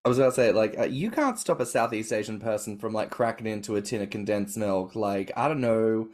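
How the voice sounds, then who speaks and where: A man with an Australian accent, hosting a casual podcast and talking with eager, animated enthusiasm. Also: on the low side, a little fast. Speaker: podcast host.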